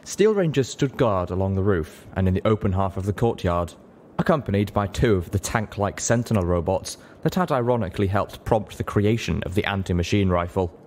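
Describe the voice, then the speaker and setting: A man's voice: sits low, animated, fairly quick, a little mellow, a little hiss or hum. A man reading scripted story narration, in the manner of an audiobook. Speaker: audiobook-style reader, show host.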